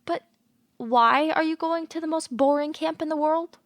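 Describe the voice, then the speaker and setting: A woman's voice: high-pitched, moderate pace, no echo, clean studio sound. An actress playing a caring young woman, frank and full of questions, in an audio play. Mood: curious, caring. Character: frank, caring young woman, the troubled man's childhood friend.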